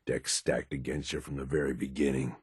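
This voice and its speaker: A low, gruff American male raider from a post-apocalyptic video game, recorded close and dry. He is a cynical, loyal henchman who talks bluntly in coarse street slang. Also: level tone, a little fast, no echo, full character voice. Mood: jaded. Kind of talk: video game companion voice lines.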